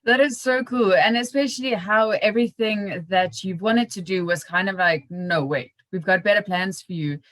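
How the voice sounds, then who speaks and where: Female podcast interviewer, medium pitch, casual and encouraging with keen interest. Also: normal speed, few pauses.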